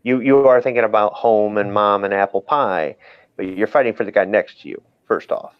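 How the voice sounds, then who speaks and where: A man's voice: lowish voice, normal speed, smooth. Dull-sounding man, blunt casual talk. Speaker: military veteran guest. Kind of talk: casual conversation.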